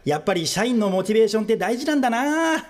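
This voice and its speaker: A man plays a wry narrator for an office radio comedy. His voice is strikingly high and swings with lively, animated intonation. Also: fast. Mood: reflective.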